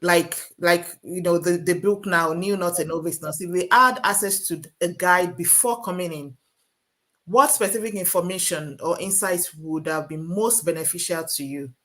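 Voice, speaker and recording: A woman's voice: lowish voice, moderate pace, a bit bright. A woman hosting a nursing podcast, asking questions in a conversational way and rephrasing them as she goes. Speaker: podcast host and nurse coach. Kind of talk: casual interview chat.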